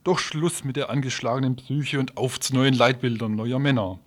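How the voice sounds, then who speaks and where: A man's voice: mid-range voice, steady tone, normal speed. A man reading scripted teasers for a radio news programme in a studio.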